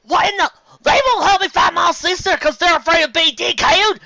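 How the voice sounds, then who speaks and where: Man playing a furious loud country farm mare. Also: extremely high pitch, moderate pace, gravelly, muffled recording, pitched above the performer's own voice, full character voice. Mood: furious, desperate. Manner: blustering, folksy cursing. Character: furious distraught country farm mare, protective older sister.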